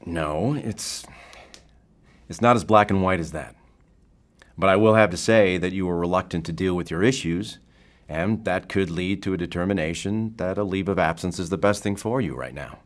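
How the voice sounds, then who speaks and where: An actor playing a calm, probing bureau therapist in an audio drama, speaking in a formal, deliberate way. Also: male voice, deep voice, close to an everyday voice. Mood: firm, measured.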